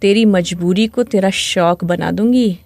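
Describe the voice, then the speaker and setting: A woman's voice: mid-range voice, measured pace, creaky, darkish tone, no echo, clean studio sound. A woman voicing a discontented married client in her thirties in a dramatized story. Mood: seductive menace. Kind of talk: dramatized story dialogue in Hindi.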